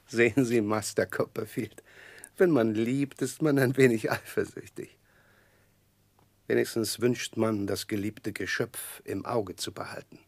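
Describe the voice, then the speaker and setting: An actor playing a slimy, falsely humble schemer with an oily, insinuating manner in a 1960s German radio play. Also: male voice, mid-range voice, slow, dry recording.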